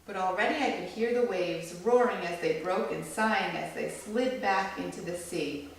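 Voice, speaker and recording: A woman reading a picture book aloud to children as a storyteller, with animated delivery that acts out the quoted lines. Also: fairly low pitch, moderate pace, gravelly. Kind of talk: expressive read-aloud storytelling. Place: echoey indoor room with children listening. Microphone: far from the reader. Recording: distant mic, very echoey room, faint noise.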